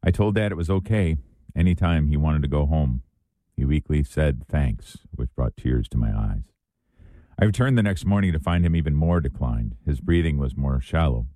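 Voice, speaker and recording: A middle-aged male host with a hoarse, raspy voice that sits extremely low and mellow. He speaks in an earnest, reflective way on a spiritual talk show. The sound is dry and close. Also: expressive, normal speed, no echo.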